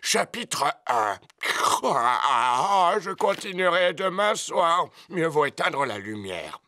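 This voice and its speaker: A sleepy old grandpa rabbit, with a high, bright voice and unhurried speech. It is cartoon dub acting with faint noise behind. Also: male voice, very animated, exaggerated voice.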